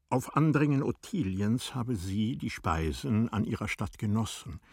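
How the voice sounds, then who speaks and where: A man narrating a tragic classic story in a radio play, in a solemn, measured, dramatic manner. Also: lowish voice, darkish tone, slightly rough, no echo, studio quality, heavily acted. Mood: urgent.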